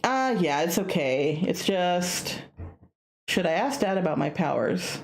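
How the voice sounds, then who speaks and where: A woman's voice: on the low side, animated, a little slow. A woman playing a curious, polite young male student in a visual novel, speaking in a hesitant, conversational way.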